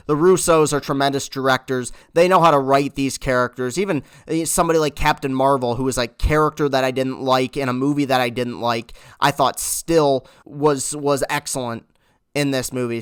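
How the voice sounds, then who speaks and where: A man reviewing a movie in casual, earnest talk, halting and repeating words as he goes. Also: medium pitch, a bit bright, smooth, no room sound, studio quality. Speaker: film reviewer.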